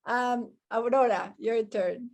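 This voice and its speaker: A woman's voice: a little high, slow pace, gravelly, darkish tone. A woman hosting an online panel, gracious and thankful as she hands over to speakers. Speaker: host, moderator.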